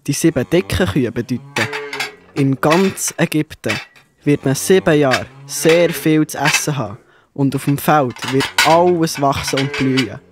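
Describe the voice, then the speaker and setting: A man's voice: a little high, background noise, close to an everyday voice. A man playing Josef, an earnest and upright young man, in a children's Bible audio drama.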